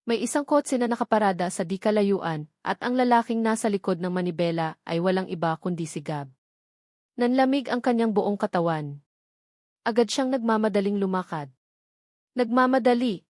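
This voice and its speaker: A woman's voice: medium pitch, moderate pace, darkish tone, dry recording, studio quality. A woman reading scripted dramatic narration of a romance story in an emotive, theatrical storytelling manner.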